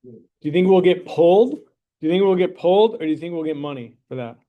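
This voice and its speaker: A man teaching math to a high school class, talking through problems in a casual, joking way and checking in with the students as he goes. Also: lively intonation, fast talker, phone-quality sound. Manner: joking, conversational, checks in with "right?" and "okay?". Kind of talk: classroom lesson with a mock sponsor plug.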